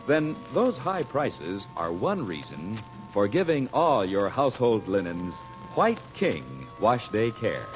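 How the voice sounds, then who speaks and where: A male announcer from the golden age of radio, polished and theatrical, with big rises and falls in pitch. His voice is muffled by the old recording, and prominent music plays along. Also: wildly swooping pitch, slow pace, few pauses. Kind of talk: 1940s-style radio announcing, scripted narration.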